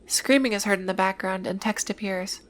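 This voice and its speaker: A woman's voice: mid-range voice, normal speed. A woman narrating a scripted summary of a horror series, with informal, casual asides. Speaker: video essayist narrator.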